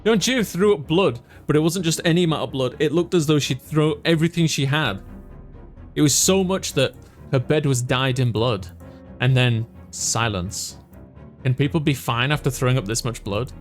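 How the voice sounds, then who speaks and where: A man's voice: medium pitch, moderate pace, faint music behind. A man reading a visual novel aloud as a let's player, in dramatic story narration.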